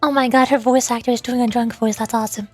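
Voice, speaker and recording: A woman's voice: a little high, steady tone, fast talker, soft background music. A woman streaming from home on a USB desk mic, chatting in a rambling, self-deprecating way. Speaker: VTuber streamer. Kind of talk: casual stream chat while voice acting over a game. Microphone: USB desktop microphone.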